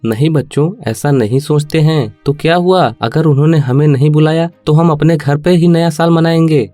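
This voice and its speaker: A man's voice: fairly high pitch, moderate pace, mellow, dry recording, lightly acted. Poor village dad for a moral tale, kind and resolute played by a male narrator, faint music underneath. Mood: reassuring. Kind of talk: children's moral story cartoon acting.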